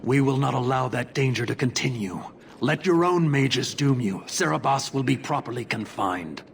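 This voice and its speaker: An actor playing a stern, zealous, commanding giant warrior in a video game, speaking formally and with measured weight. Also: male voice, mid-range voice, expressive, vocal fry, slight background noise. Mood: stern, cold.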